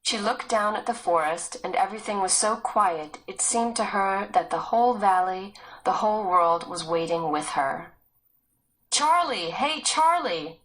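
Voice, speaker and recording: A woman's voice: medium pitch, normal speed, a bit bright, rough recording. A woman reading a story aloud as a scripted audiobook narrator.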